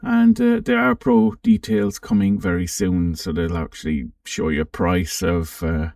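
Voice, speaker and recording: Low, creaky man talking through software. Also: expressive, a little slow, studio quality. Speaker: presenter of a software demo. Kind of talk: casual unscripted walkthrough commentary. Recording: roomy, slightly distant microphone.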